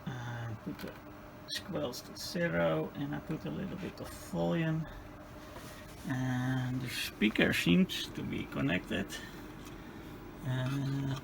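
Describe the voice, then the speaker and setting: A man giving a hands-on repair demonstration, muttering haltingly and tentatively through each step as he thinks aloud. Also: a little high, creaky, noisy background. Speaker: host of a radio repair video. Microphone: handheld camera.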